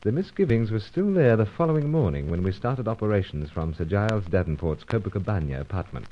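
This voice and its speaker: An actor playing an unflappable secret agent in a radio spy adventure, with clipped, understated phrasing. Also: male voice, lowish voice, expressive, quick pace, natural voice. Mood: dry, understated. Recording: dull lo-fi recording.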